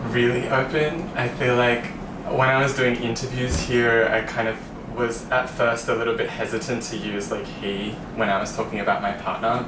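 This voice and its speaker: A man with an Australian accent, casually sharing his experiences as a guest in a group interview. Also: lowish voice. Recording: echoey, distant microphone, clear background noise.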